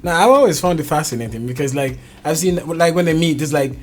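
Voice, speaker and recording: Guy hosting a chill studio chat, kinda high voice, jokey, faint music under him. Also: fast talker. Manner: chatty, informal, jokey. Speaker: show host. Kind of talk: casual studio interview.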